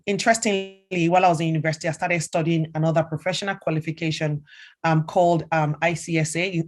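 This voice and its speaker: A middle-aged woman from Nigeria with a Nigerian accent, telling students about her career in a chatty way, with many fillers and restarts. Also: deep voice. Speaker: senior strategic advisor.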